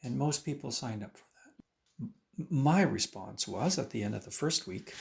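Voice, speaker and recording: A man who teaches violin, talking in a documentary interview, lively and acting out his own past remarks, with frequent restarts. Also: medium pitch, unhurried, vocal fry.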